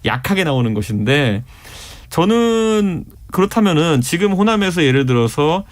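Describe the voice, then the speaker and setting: A man in his thirties making a measured, earnest argument as a panelist in a radio studio debate. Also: on the high side, big pitch swings. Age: 30s. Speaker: political panelist.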